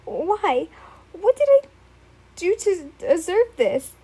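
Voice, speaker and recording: A woman's voice: high-pitched, a little slow, dark tone, voice pushed up. Woman reading as a clingy, pleading young man. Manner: pleading, emotional.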